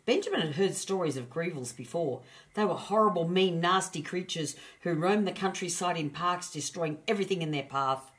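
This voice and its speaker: A woman narrating a children's storybook as an expressive storyteller. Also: fairly low pitch, moderate pace.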